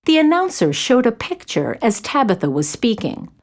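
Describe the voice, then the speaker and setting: A woman's voice: on the high side, sing-song, normal speed. A woman narrating a children's audio play in a tender, descriptive storybook manner. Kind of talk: scripted narration.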